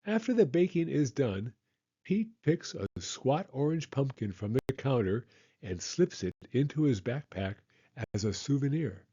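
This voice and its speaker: A man reading a children's picture book aloud with expressive, measured storytelling. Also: medium pitch, breathy edge, dull audio.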